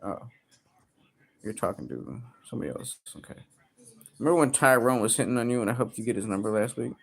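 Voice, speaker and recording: A man in his early thirties livestreaming, reading chat aloud and joking crudely, with sudden loud outbursts. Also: medium pitch, animated, brisk, creaky, slightly rough. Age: 30s.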